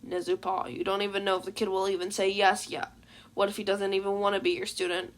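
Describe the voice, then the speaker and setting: A woman voicing a tired, grumpy male teacher in a fan fiction reading, with a curt, deadpan delivery. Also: medium pitch, quick pace, pitched below the performer's own voice, close to an everyday voice. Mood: resigned. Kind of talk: fan fiction audiobook character voice.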